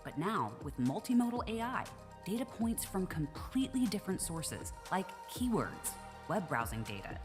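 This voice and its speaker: A woman reading a scripted promotional voice-over in a polished, smooth delivery. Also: fairly low pitch, sing-song, normal speed. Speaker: promo video narrator. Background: music bed.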